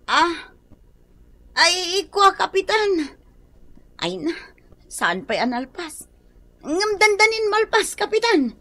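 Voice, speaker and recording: A woman's voice: extremely high pitch, big pitch swings, takes it slowly, long pauses, a bit bright, full character voice. A woman with a Filipino accent playing an eager, easily flustered village secretary in a radio drama.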